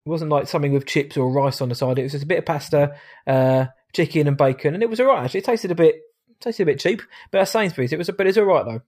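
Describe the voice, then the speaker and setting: A man in his thirties with a British accent, hosting a podcast in a chatty, jokey way, his voice light rather than deep. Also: rapid-fire, a little mellow. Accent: British English. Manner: chatty, jokey, laughs often. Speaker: podcast host. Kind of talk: casual chat.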